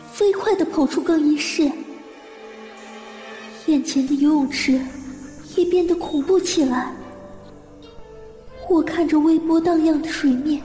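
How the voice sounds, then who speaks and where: A woman's voice: high voice, mellow. A woman acting a haunted, anxious young woman who narrates her childhood trauma in a radio drama. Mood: fearful, subdued. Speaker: voice actor and narrator. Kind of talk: first-person radio drama narration and dialogue. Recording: reverberant echo with music underneath. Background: music.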